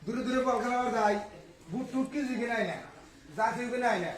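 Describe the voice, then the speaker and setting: A man's voice: very high voice, fairly quick. A man playing a poor villager in an amateur comic skit, humbly pleading for help, through a voice changer. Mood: humble, pleading. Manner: humble, plain-spoken petitioning. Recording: echoey room, far from microphone.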